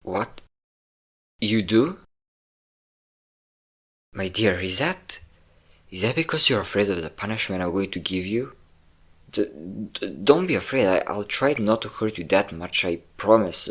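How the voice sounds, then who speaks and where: A man's voice: fairly low pitch, animated, unhurried, pauses often, breathy edge. A man playing a possessive, obsessive vampire lover in an intimate, dramatic roleplay audio drama. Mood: desperate pleading.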